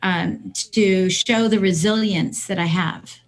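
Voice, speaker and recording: An older woman around sixty speaks as a podcast guest in a conversational, motivational way. She is emphatic and often repeats her phrases to drive them home. Her pitch sits in a middle range for a woman. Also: fairly even intonation, slow. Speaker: podcast guest, business coach.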